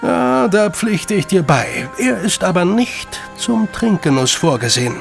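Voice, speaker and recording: A man acting a shrewd, condescending gentleman in an audio drama, with formal, measured phrasing and a creaky voice. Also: high-pitched, very animated, faint music behind, heavily acted. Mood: dry, sardonic. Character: shrewd, superior gentleman, elder brother of a detective.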